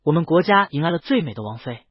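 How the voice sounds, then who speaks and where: A woman's voice: sits low, big pitch swings, fast talker, breathy edge, dry recording, lo-fi sound, pitched below the performer's own voice, heavily acted. A woman voicing theatrical palace figures, such as courtiers and a prince, in a fairy-tale story. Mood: admiring.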